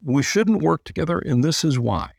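A man's voice: on the high side, expressive, normal speed, vocal fry, husky, no echo, studio quality. A man speaking earnestly and matter-of-factly as a podcast guest. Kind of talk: interview clip.

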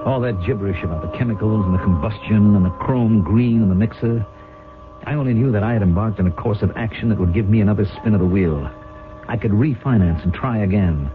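A man's voice: fairly low pitch, fairly quick, lightly acted. An actor in a 1970s radio drama playing an anxious, ambitious businessman, uneasy and dramatic as he is drawn into a guilty scheme. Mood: brooding narration. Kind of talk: 1970s radio drama acting, first-person narration. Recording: phone-like vintage radio recording, faint music.

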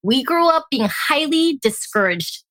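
A woman with an American accent, chatting casually as an interview guest and telling stories in an animated way. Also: high-pitched, a little slow, dry recording. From born in Hong Kong, grew up in Alaska. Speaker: guest, tea company founder.

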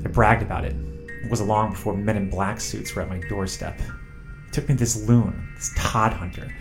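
An actor playing a haunted, bitter psychic fugitive in an audio drama, confiding and earnest. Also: male voice, fairly low pitch, steady tone, normal speed, gravelly. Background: music.

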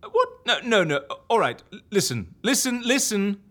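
A man playing a posh, sentimental and excitable agency boss in a comedy audio drama, with a theatrical, effusive manner. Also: high-pitched, normal speed, full character voice. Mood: flustered.

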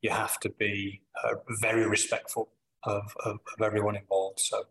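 A middle-aged man with a Manchester accent talks in a bright, forceful voice. He answers thoughtfully, pausing often and restarting his sentences as he works out what he wants to say. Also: on the low side, little pitch movement, vocal fry, low-quality recording. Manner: thoughtful, with frequent pauses and restarts. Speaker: business leader guest. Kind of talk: reflective interview answers.

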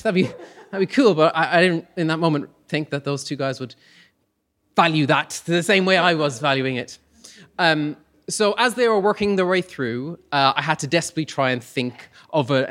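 A man's voice: fairly high pitch, moderate pace, fairly bright tone. A man telling a personal story live to an audience, in a hesitant, self-deprecating, humorous way. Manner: hesitant, self-deprecating humour, chuckles as he talks. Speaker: storyteller on stage.

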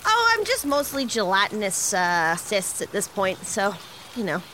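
A woman's voice: medium pitch, wildly swooping pitch, a little slow, sharp tone, slight background noise, heavily acted, poor audio. A woman playing a gruesomely injured, self-sacrificing crew member in a sci-fi comedy drama, bravely understating her pain. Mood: pained, stoic. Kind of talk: improvised dark comedy sci-fi audio drama.

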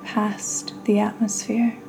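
Slow, very even-toned woman guiding meditation. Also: mid-range voice, vocal fry, soft background music, rough recording. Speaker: podcast host and meditation guide. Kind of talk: guided meditation.

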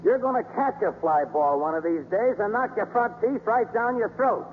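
An American actor playing an abusive, sarcastic baseball team manager in a 1950s radio drama, blustering and sneering. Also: male voice, high-pitched, normal speed, close to an everyday voice. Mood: sneering sarcasm. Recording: noisy, tinny old radio broadcast.

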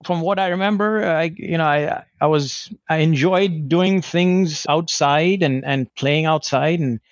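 A man's voice: on the high side, unhurried, no echo, clean studio sound. A man from India in his thirties, answering interview questions in a hesitant way with many restarts. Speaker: guest.

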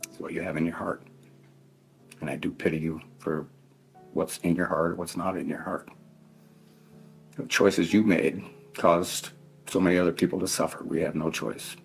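A middle-aged man giving a solemn victim impact statement in halting, emotional phrases, grieving and addressing someone directly. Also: low voice, fairly even intonation, vocal fry. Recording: faint music laid under the voice. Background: faint plucked guitar music.

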